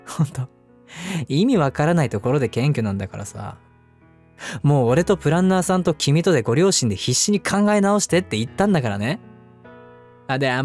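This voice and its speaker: A man acting as a devoted young husband, speaking gently and intimately to the listener as his wife in an audio drama. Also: on the high side, lightly acted. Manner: gentle, affectionate, softly chuckling between phrases. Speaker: voice actor. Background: background music.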